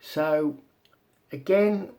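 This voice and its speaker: A man giving informal explanatory commentary as a video presenter, talking in a halting, step-by-step way. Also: a little high, warm tone. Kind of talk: informal explanatory commentary over on-screen maps and documents.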